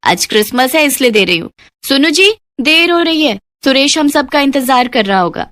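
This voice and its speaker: A woman's voice: sits high, animated, normal speed, fairly bright tone, no room sound, voice pushed up. A woman voicing a haughty, condescending rich aunt in a moral story, bossy and dismissive. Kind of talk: children's moral story voice acting.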